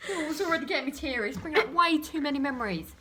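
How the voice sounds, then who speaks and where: A woman's voice: sits high, animated, rapid-fire, bright, forceful tone, a little hiss or hum. A young British woman hosting a casual chat, with a teasing, jokey way of talking. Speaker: vlog host.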